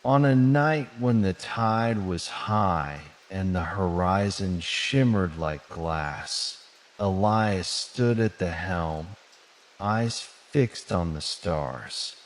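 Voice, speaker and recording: A man narrating a soothing bedtime story in a gentle, measured, dramatic storybook manner. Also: sits low, expressive. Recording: long cavernous reverb.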